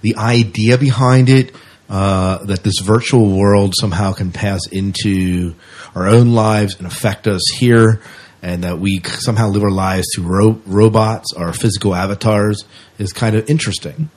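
Husky, low-voiced man chatting on a podcast. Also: fairly even intonation, moderate pace, a little mellow. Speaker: podcast co-host. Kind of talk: casual sci-fi news and review chat.

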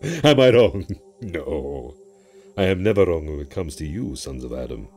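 Audiobook djinn: very low, dark-toned, proud and mocking, with grandiose delivery. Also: male voice, very animated, normal speed. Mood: gleeful pride. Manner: theatrical, declamatory, taunting.

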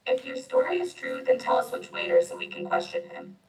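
A man's voice: extremely high pitch, huge pitch swings, brisk, vocal fry, full character voice. A male performer playing a determined villain turned detective in an amateur youth radio play. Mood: suspicious. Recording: distant, echoey, noisy room recording.

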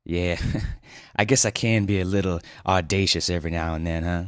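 A man's voice: mid-range voice, very animated, moderate pace, no echo, studio quality, pitched above the performer's own voice. A man doing a laid-back teasing office guy. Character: laid-back adventurous male office worker.

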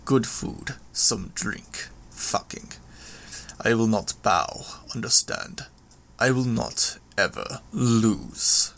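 A man voicing a proud, brutal supervillain with clawed hands, speaking in growled, halting phrases in an audiobook. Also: on the low side, animated, creaky, a bit bright, exaggerated voice. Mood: proud, menacing. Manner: growling, halting, clipped foreign-sounding phrasing.

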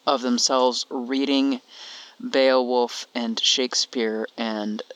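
Casting: male co-host, unhurried and halting, middle register, informal conversational delivery. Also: medium pitch, fairly even intonation, a bit bright, clean tone, no room sound, low-quality recording. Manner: halting, thinking aloud. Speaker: podcast co-host. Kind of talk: casual chat.

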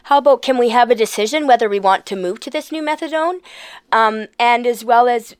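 A woman speaking earnestly and outspokenly as an interviewee, with a loud voice. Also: a little high, a little fast, clear, resonant tone. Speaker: activist interviewee. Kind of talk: casual interview.

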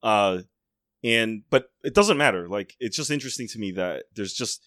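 A man's voice: on the low side, expressive, normal speed, a bit bright, no echo, studio quality. A man co-hosting a casual podcast, rambling and breaking off his own sentences. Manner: rambling, self-interrupting.

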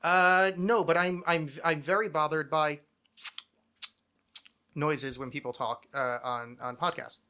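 A man's voice: a little high, steady tone, measured pace, pauses often, pushed and bright, clean tone. A man hosting a podcast with casual comedic banter, rambling and sardonic, swearing freely. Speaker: podcast host.

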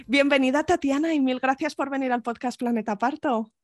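Woman podcast host doing intros and interview chat, voice a bit high, some music. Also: brisk.

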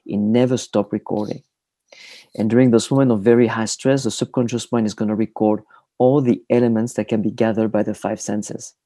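A man's voice: on the low side, fairly even intonation, mellow, no room sound. A man in his forties from France with a French accent, a podcast guest who halts and searches for his words as he explains. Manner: halting, searching for words mid-sentence. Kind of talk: conversational interview answers.

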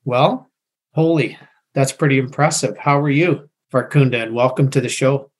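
A man's voice: medium pitch, normal speed. Chatty male podcast host. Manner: rambling, restarts phrases, many fillers. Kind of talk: casual interview chat.

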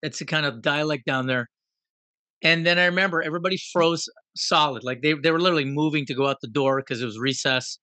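A man in his fifties from Guyana speaks in a bright, forceful voice that sits a little high. He tells his story in an animated, self-deprecating way, and the sound is close and dry. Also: quick pace, no echo. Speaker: guest. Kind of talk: personal storytelling in conversation.

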